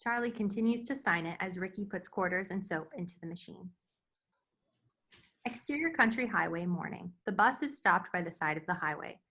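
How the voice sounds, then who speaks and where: A woman's voice: fairly low pitch, lively intonation, normal speed, bright, forceful tone. A woman reading screenplay stage directions as scripted narration in a table read.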